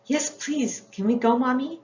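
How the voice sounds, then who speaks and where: In a roomy, slightly muffled recording, a gentle little storybook girl speaks politely and eagerly, acted out by a woman reading aloud. Faint noise sits behind the voice. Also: high voice, normal speed, pitched above the performer's own voice, close to an everyday voice. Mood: polite, excited.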